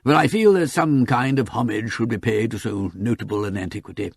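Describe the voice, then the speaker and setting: A man's voice: fairly low pitch, fairly quick, creaky, husky, no echo, studio quality. A man voicing a courteous, old-fashioned elderly English solicitor with a hesitant, precise, formal way of talking in an audiobook. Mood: musing, wistful.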